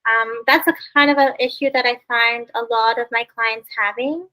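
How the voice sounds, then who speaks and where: A woman's voice: fairly high pitch, fairly even intonation, moderate pace, sharp tone, poor audio. A Canadian woman hosting an interview podcast, chatty and friendly, often saying "you know" and "like.". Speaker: podcast host.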